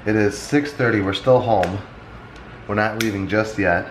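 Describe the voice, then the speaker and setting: A man's voice: fairly low pitch, moderate pace. A young man in his twenties, congested from a sinus infection, chatting casually and a little tired as he vlogs at home and at a resort at night. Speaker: vlogger. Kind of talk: casual vlog chat. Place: apartment at home, then outdoors at a resort at night. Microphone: handheld camera at arm's length. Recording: noisy handheld camera audio.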